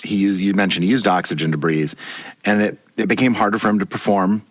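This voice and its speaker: A man's voice: deep voice, steady tone, fast. A man hosting an interview podcast, asking curious, meandering questions with frequent "uh"s. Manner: warm, curious questioner with many "uh"s. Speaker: podcast host. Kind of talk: interview conversation.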